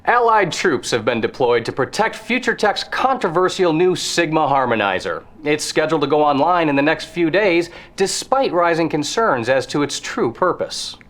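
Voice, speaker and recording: A male news reporter reads a formal bulletin in a war video game. His voice is on the high side and strongly animated, with punchy newscaster delivery. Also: moderate pace, few pauses. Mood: urgent reporting.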